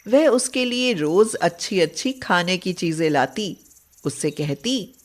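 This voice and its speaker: Woman narrator, slow and low, measured storybook reading. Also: animated.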